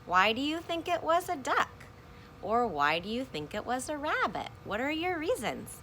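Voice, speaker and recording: A woman teaching kindergartners in a read-aloud lesson, speaking expressively and pausing to ask questions. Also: high voice, slight background noise. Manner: expressive, asks questions and pauses for answers.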